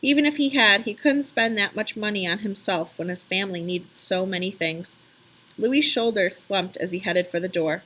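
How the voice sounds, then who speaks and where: A woman narrating a children's story aloud in an expressive, emphatic storytelling style. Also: mid-range voice, normal speed.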